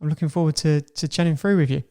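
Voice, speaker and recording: A man's voice: fairly high pitch, fairly even intonation, fast talker, warm tone. A man hosting a podcast, introducing the episode and talking to his guest in a conversational way. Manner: conversational, with fillers and restarts. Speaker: podcast host.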